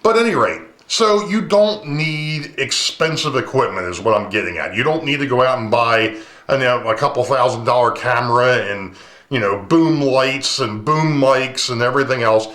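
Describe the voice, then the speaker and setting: Casting: man with a booming, mid-pitched voice, rambling vlog host, camcorder mic, echoey room. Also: normal speed, a bit bright. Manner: rambling, chatty, many ums. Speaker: model railroad channel host. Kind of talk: casual talk to camera. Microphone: camcorder's built-in mic, no lapel mic.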